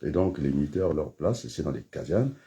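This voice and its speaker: A man giving a rambling political monologue, hesitant with many fillers, then bursting into emphatic high outbursts. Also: big pitch swings, normal speed, vocal fry, dark tone. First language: French. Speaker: political commentator.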